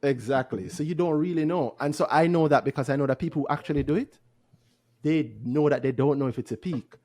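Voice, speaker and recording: A man's voice: fairly high pitch, a little fast, darkish tone. A man in casual investing chat on a podcast, explaining his thinking and asking rhetorical questions. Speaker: podcast host.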